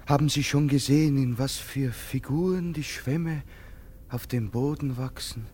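An actor playing a poor, brooding soldier in a German radio play, humble, haunted and halting in his speech. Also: medium pitch, a little mellow, breathy edge. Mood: haunted, urgent. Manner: halting, earnest, deferential.

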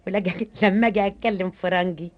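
A woman's voice: mid-range voice, steady tone, quick pace. A middle-aged woman with an Egyptian accent, a stage and film actress chatting playfully as a radio guest. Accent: Egyptian colloquial. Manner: chatty, playful, laughs often. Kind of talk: lively radio interview chat. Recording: dull lo-fi archival radio recording.

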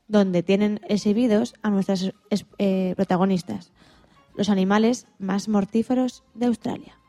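A woman co-presenting on radio, reading a scripted recommendation haltingly and stumbling over words. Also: medium pitch, dark tone.

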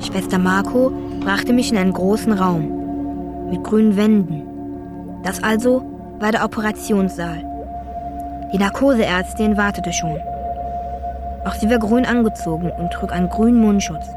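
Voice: medium pitch, measured pace, long pauses, lightly acted. An earnest, devout young boy played by an actor, in a radio drama. He talks in simple broken phrases. Music plays behind him. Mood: calm narrating. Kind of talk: radio play dialogue and narration.

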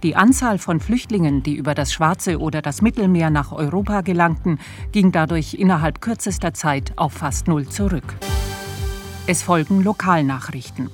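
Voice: medium pitch, normal speed, faint music behind, lightly acted. A performer playing a sober radio news reader, delivering scripted bulletins in a formal, factual manner. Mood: neutral, formal.

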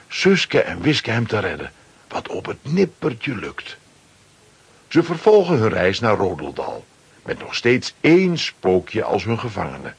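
A man's voice: fairly low pitch, breathy edge, dry recording. A man narrating a children's adventure story from a script, with dramatic delivery.

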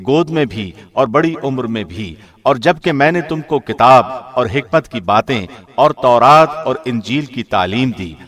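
A man reading a scripture translation in a formal, measured, solemn radio presenter's delivery. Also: mid-range voice, a bit bright.

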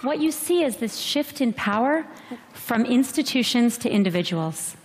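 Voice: mid-range voice, lively intonation, measured pace, a little hiss or hum. A speaker giving a public presentation in a hall. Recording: reverberant hall.

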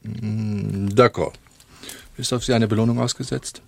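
An actor playing a shrewd, courteous underworld fixer in a radio drama, with a businesslike manner. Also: male voice, lowish voice, fairly even intonation, measured pace, gravelly. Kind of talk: German radio drama dialogue.

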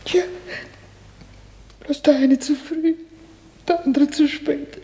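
An actress playing a tearful, fretful old mother in a radio play, lamenting slowly in a creaky, mellow voice. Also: high-pitched, fairly even intonation. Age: older. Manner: slow, lamenting.

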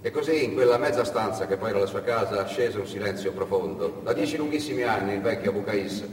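Male storyteller in an echoey hall. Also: lowish voice. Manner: theatrical, repetitive phrasing with pauses. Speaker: storyteller and stage actor. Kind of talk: dramatic narrated monologue. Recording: echoey hall, distant mic, background noise.

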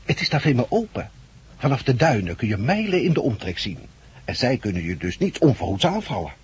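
A man acting a blunt, loudmouthed labour agitator turned survivor leader in a 1960s radio play, forceful and lecturing. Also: mid-range voice, very animated, a little fast, slight background noise, natural voice. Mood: persuasive. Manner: forceful, lecturing, coarse-tongued. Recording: muffled-edged 1969 radio play recording.

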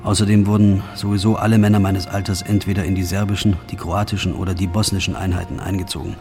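An actor playing a reluctant, disillusioned soldier, narrating a radio drama monologue in a quiet, resigned, brooding manner. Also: male voice, low voice, little pitch movement, fast, soft background music. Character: reluctant, disillusioned soldier, former locksmith, male, weary.

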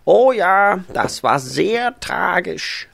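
Eine Frau spielt in einem Hörspiel einen freundlichen alten, aus Polen stammenden Gärtner, großväterlich und gemächlich plaudernd. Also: female voice, lowish voice, big pitch swings, vocal fry, a bit bright, exaggerated voice. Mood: sad, reflective. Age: older. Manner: grandfatherly, unhurried, chatty. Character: kindly old Polish-born male gardener, widowed, reminiscing. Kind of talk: scripted audio drama acting.

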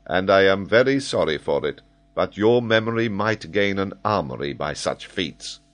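A man voicing a calm, shrewd army major in a storybook, dryly teasing with an understated, deadpan manner. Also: deep voice, normal speed. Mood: conceding.

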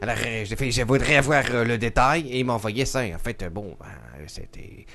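A man's voice: mid-range voice, lively intonation, brisk, fairly bright tone. A man voicing a bumbling male villager from a tiny hamlet, speaking with a mock Belgian-style French accent, hesitant and full of fillers. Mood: earnest. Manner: hesitant, full of euh and n'est-ce pas. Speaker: guest character.

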